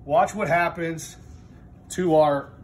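A man's voice: a little high, slow pace, a little hiss or hum. Man demonstrating a furnace, methodical. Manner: plain, methodical explaining with frequent restarts. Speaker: HVAC trainer. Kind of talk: hands-on technical demonstration. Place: indoor training room with a furnace.

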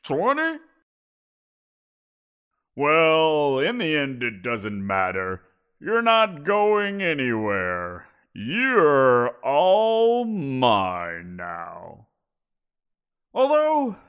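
A man's voice: high-pitched, fairly bright tone, smooth, full character voice. A man voicing a giant wolf creature in an audio roleplay, teasing and amused, chuckling as he talks. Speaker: voice actor.

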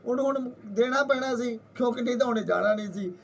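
A man's voice: very high voice, fast talker, creaky, recorded from a distance. A man with a Punjabi accent telling a folktale informally, in a colloquial, conversational way with repetitions. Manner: colloquial, conversational, repeats himself. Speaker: storyteller. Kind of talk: informal oral folktale storytelling.

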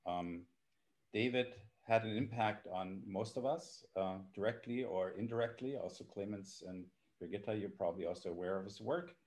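Lowish-voiced older man. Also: normal speed, darkish tone. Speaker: professor and moderator. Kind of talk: informal moderating. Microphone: video call.

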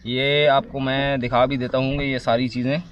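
A man in his thirties from Pakistan, reviewing fishing gear in a relaxed, chatty way while sitting outdoors by a lake, recorded on a camera set a few feet away. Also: medium pitch, normal speed, highs cut off. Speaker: fishing vlogger. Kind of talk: casual outdoor product review. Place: outdoors on a lakeshore under a tree. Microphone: camera on a tripod a few feet away.

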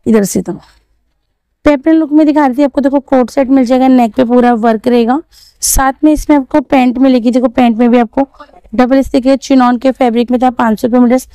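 High-voiced woman touring a street market. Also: fairly even intonation, fairly quick, mellow, clean tone, dry recording, low-quality recording. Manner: chatty, repeats prices, asks shopkeepers. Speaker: market vlogger. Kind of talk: walking market vlog showing clothes and prices. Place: busy outdoor street market.

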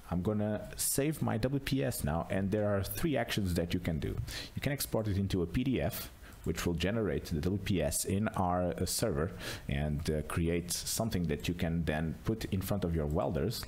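A man narrating an unscripted screencast tutorial as a software demonstrator, explaining casually step by step. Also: low voice, a little hiss or hum.

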